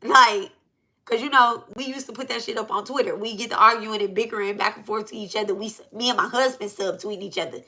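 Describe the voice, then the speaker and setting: A woman's voice: medium pitch, brisk, pushed and bright, rough recording. A woman in her thirties talking casually on her own vlog, blunt and emphatic, often repeating herself and swearing. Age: 30s. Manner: blunt, emphatic, repetitive, profane. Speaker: spiritual reader and channel host. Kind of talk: casual venting vlog talk.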